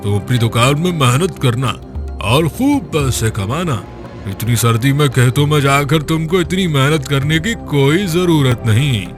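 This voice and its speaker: Man voicing a kindly, animated magic talking blanket. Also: medium pitch, a little slow, music in the background, natural voice. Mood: encouraging. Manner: reassuring, expansive. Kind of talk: animated moral story voice acting.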